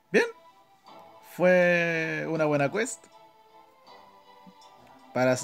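A Chilean man with a fairly high voice hosts a gameplay video. He comments casually as he plays, in informal, slangy speech, often muttering to himself. Game music plays behind him. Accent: Chilean Spanish. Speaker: gaming video host.

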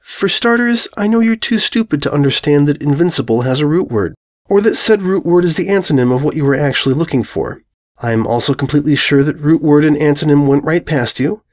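A man voicing a studious, exasperated female pony in a storybook reading, with a precise, deliberate and pointed manner. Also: fairly high pitch, expressive, voice pushed up, natural voice. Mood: scornful.

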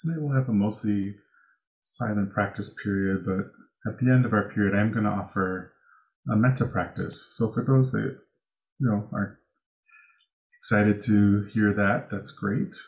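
An American man from Chicago leading a guided meditation as a teacher, giving gentle, unhurried instructions with pauses. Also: lowish voice, low-quality recording. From Chicago, United States.

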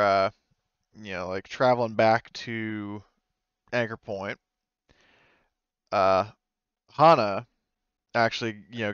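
A man with a lowish, smooth voice acts as game master in a casual tabletop session. He narrates haltingly, thinking aloud as he goes. The sound is dry and slightly dulled, like a voice chat. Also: a bit bright, dry recording, muffled recording.

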